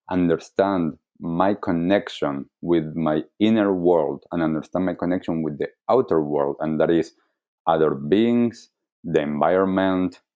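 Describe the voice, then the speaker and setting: A man with an Argentine accent giving reflective interview answers as a wellness coach, explaining deliberately and earnestly. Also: low voice, dark tone, muffled recording. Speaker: wellness coach, podcast guest.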